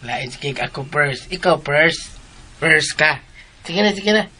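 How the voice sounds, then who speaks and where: A man playing a slow-witted, boastful sidekick in a radio drama, with stammering, hesitant repetitions. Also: on the high side, expressive, bright, forceful tone, exaggerated voice. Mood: boastful, whining.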